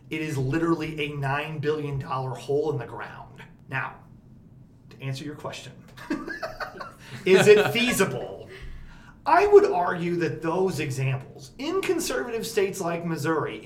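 A man hosting a podcast about energy policy, talking casually with a loud, projecting voice and plenty of emphasis. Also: on the high side. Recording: roomy echo, faint background noise.

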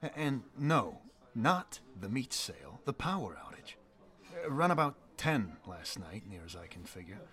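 A man's voice: fairly low pitch, big pitch swings, moderate pace, fairly bright tone. A man playing a laconic Englander. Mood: dry. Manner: plainspoken rural drawl, dry. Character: gruff down-to-earth local man, laconic. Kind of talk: audio drama acting.

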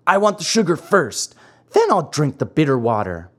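A narrator voicing a whiny, stubborn little wooden boy marionette in a high, squeaky, childlike storybook voice. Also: sing-song, moderate pace, breathy edge. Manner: whining, childlike, pleading.

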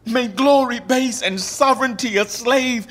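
A man's voice: very high-pitched, a little slow, fairly bright tone, heavily acted. An actor playing a deposed English king in a Shakespearean radio play, declaiming verse in an anguished, mournful and bitterly proud way.

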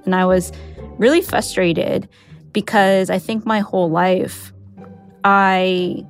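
A woman's voice: mid-range voice, steady tone, slow, faint music behind. An American woman whose first language is Mandarin, telling a personal story in an informal, conversational way. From United States. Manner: informal, sprinkled with "like" and "you know".

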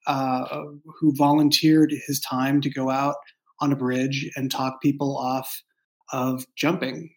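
A man's voice: on the high side, rough recording. A man talking thoughtfully as an interview guest, often searching for his words. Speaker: podcast guest, writer and creator. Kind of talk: casual, thoughtful interview talk.

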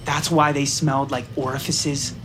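An actor playing a fawning, slacker-cool office favorite in a comedic audio drama, casual and sycophantic. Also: male voice, medium pitch, little pitch movement, normal speed, noisy background, natural voice.

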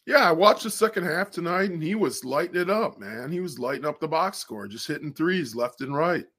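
A man with a deep voice, joining a casual sports podcast over a video call, easygoing and relaxed. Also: fairly quick. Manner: laid-back, easygoing, says "man" and "dude". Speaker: guest co-host.

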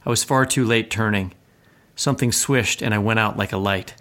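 A man's voice: on the low side, steady tone, moderate pace, fairly bright tone. A man hosting a literary podcast, in conversation and sometimes reading aloud. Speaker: podcast host.

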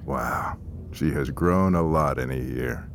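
A man's voice: very deep voice, slow, vocal fry, a little hiss or hum, heavily acted. An actor playing an abrasive older male business partner, condescending and profane, in a naturalistic audio drama. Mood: mockingly jovial.

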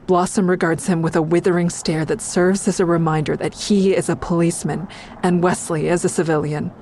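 A woman's voice: fairly low pitch, steady tone, fairly quick, a little mellow, a little hiss or hum, close to an everyday voice. An actress playing a defiant, distraught young woman who narrates her own story in an audio drama. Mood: tense narration. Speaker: narrator and lead character.

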